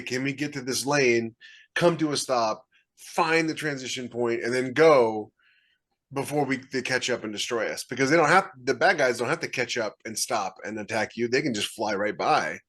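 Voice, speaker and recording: A man's voice: mid-range voice, moderate pace, a bit bright. A man co-hosting a writers' podcast over a video call, explaining his ideas conversationally. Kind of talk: casual panel discussion.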